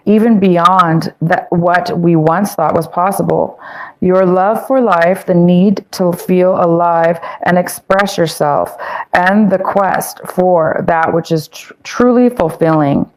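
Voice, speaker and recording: Rambling tarot-reading woman, lowish voice. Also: slow, poor audio. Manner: meandering, many fillers and self-corrections. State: losing her voice. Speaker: tarot reader. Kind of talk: casual tarot reading to viewers.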